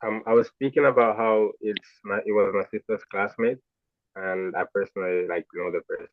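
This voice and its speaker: A man's voice: fairly low pitch, fairly even intonation, brisk. A man from Jamaica with a Jamaican accent, a student explaining his speech to his instructor on a video call. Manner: brief, agreeable replies. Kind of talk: answering feedback on a video call. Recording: dry, tinny phone-like call audio.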